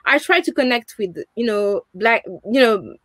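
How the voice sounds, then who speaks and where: A woman's voice: a little high, animated, measured pace, fairly bright tone, no echo. A young woman with a French accent, speaking earnestly on a video call as an interview guest, often restarting and adding "you know". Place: home room, indoors.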